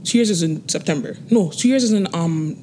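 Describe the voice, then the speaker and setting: Young female guest in her twenties, casual chat, earnest, laughs a lot, faint background rumble. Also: a little mellow. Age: 20s. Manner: laughs often, slow and earnest when talking goals. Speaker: interview guest.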